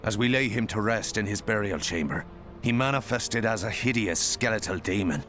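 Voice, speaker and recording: Deep, anguished doomed knight. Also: male voice, lively intonation, moderate pace, fairly bright tone, faint music behind. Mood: grave, anguished. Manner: solemn, formal, sorrowful. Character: anguished doomed knight recounting his mad king's curse. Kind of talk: dramatic game journal narration.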